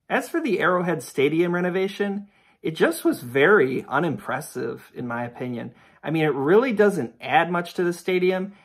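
A young man in his twenties giving blunt, opinionated sports commentary to the camera from a room at home. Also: a little high. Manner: blunt, dismissive, rhetorical questions. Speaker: sports video host.

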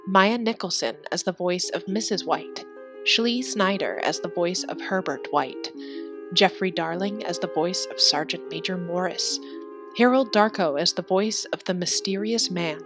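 A woman with a lowish voice introduces a radio program in scripted old-time style. She is formal and theatrical, with faint music behind her. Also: moderate pace.

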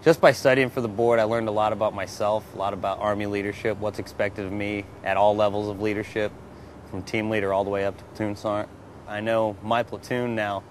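A man in his thirties speaks in an interview as a platoon sergeant. His voice is low and flat, with little rise or fall. Faint noise lies behind it. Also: brisk.

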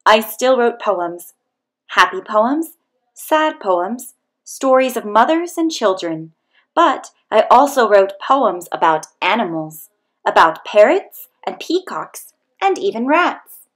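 A woman reading a children's picture book aloud as scripted narration, with expressive, deliberate storytelling delivery. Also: a little high. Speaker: storybook reader.

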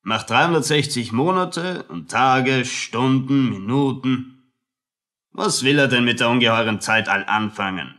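An actor playing a condescending, melancholic army captain in a radio play, with a fussy, pompous, lecturing manner. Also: male voice, medium pitch, pushed and bright. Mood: agitated, patronizing. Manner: pompous, slow, drawling, lecturing. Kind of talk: German radio play acting.

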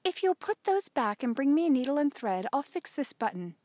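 A woman voicing a practical, kindly farm mother with a gentle, matter-of-fact manner in an audiobook. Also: sits high, sing-song, a little fast, dry recording, voice pushed up. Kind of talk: audiobook character voice.

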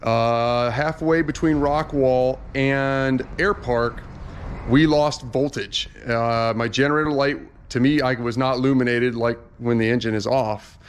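A man's voice: medium pitch, steady tone, a little slow, a little hiss or hum. A man in his forties, a pilot vlogging to a handheld camera in a hangar at night, explaining in a chatty, rambling way. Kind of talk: casual vlog to camera. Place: aircraft hangar at night, indoors. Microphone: handheld action camera at arm's length.

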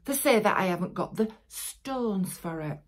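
An older woman playing a menacing, coldly contemptuous crime boss in a dramatic monologue, husky and deliberate, dropping to near-whispers. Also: medium pitch, expressive, close to an everyday voice. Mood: threatening. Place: small plain-walled room indoors.

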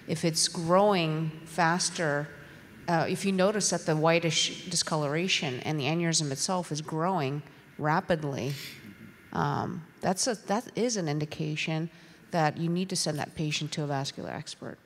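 A woman's voice: low voice, normal speed, slight background noise. A middle-aged woman physician giving explanatory advice on a conference panel into a handheld microphone. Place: large conference hall stage. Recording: reverberant hall sound.

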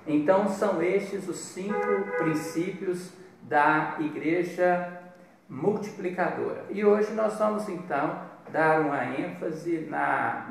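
A man in his fifties with a Brazilian accent, preaching a devotional in a measured, deliberate way with pauses. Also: a little high, creaky, slight background noise. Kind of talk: devotional sermon with Bible reading. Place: small bare hard-walled room indoors. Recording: very echoey room, distant mic.

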